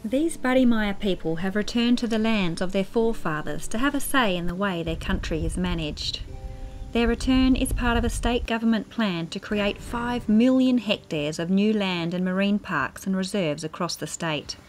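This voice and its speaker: A woman's voice: mid-range voice, moderate pace, poor audio. A woman with an Australian accent reading scripted narration for a news feature as a reporter. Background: soft music.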